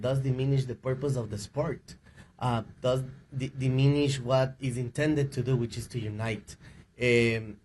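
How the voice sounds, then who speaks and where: Earnest man from Ecuador, halting speech. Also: medium pitch, darkish tone, slight background noise. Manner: earnest, repeats words while searching for phrasing. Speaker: rights advocate. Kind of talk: press conference statement. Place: news conference room.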